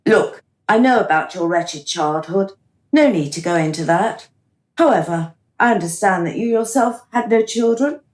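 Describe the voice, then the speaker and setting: An actress playing a composed, wry reincarnation official in a radio play. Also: female voice, medium pitch, expressive, moderate pace, natural voice. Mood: businesslike. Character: brisk, composed female reincarnation official, playfully flirtatious. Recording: roomy, echoing radio play recording.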